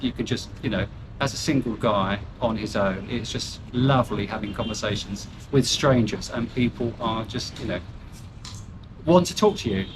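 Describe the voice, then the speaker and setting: A man's voice: lowish voice, background noise. An older British man chatting candidly as an interview guest, rambling with fillers and restarts and poking fun at himself. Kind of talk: casual chat.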